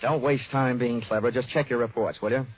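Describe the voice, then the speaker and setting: An actor playing a wisecracking hardboiled private investigator in 1940s radio style, delivering dry, wry, clipped quips. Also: male voice, medium pitch, steady tone, brisk, breathy edge, natural voice. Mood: brisk, impatient. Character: wisecracking hardboiled male private investigator narrating his case. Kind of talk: 1940s radio detective acting. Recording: narrow, tinny 1940s radio recording with music.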